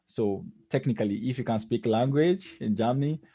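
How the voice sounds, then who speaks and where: A man's voice: medium pitch, a little fast, warm tone, breathy edge, dry recording. A man with a Nigerian accent answering interview questions as a podcast guest, explaining things conversationally with many "you knows". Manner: explanatory, frequent "you know".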